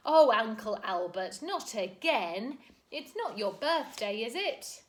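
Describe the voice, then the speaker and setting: A woman's voice: high voice, sing-song, brisk, voice pushed up. Woman doing a stern, prim nanny. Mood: cross. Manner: sharp and stern. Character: stern, vain nanny, crisp and proper.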